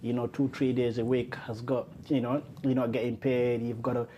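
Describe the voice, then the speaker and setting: Brief: male host, mid-pitched, mellow warm tone, chatty interviewer with many "you know"s. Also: little pitch movement, moderate pace, dull audio, slight background noise. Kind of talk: interview questions and comments.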